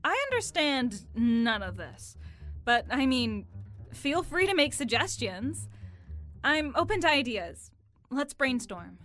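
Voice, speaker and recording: A woman's voice: high voice, big pitch swings, slow pace, a bit bright, soft background music, heavily acted. A woman playing a guarded, wry young schemer who has been betrayed, in an audio drama. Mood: softening.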